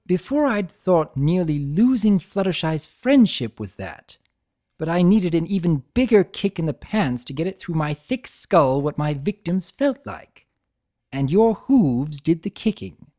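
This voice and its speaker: A man's voice: high voice, very animated, slow, dark tone, pitched above the performer's own voice, full character voice. A man voicing a mischievous, flippant chimera trickster in a theatrical, teasing way for a fan fiction reading. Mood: remorseful, sincere.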